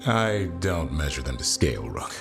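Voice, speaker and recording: A fantasy game warrior with a low, deep voice that swings widely in pitch. He is dry-humoured and deadpan, with faint music behind him. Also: moderate pace, exaggerated voice. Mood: dry teasing. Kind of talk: video game voice acting.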